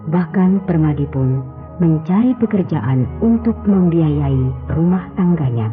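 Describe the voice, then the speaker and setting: A woman giving scripted narration for a radio drama serial. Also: low voice. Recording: tinny old radio sound, music behind.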